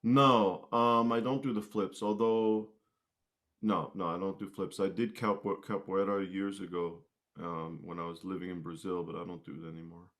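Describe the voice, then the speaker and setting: A man with a low-pitched voice speaks as a guest in a casual interview. His phrasing is hesitant and halting, in an unscripted conversational style. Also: flat delivery, fairly bright tone. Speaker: guest, musician and martial arts instructor.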